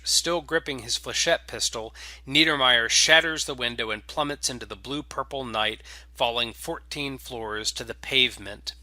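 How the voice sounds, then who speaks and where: A man reading scripted space opera fiction as a dramatic, deliberate narrator. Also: medium pitch, sharp tone, no echo.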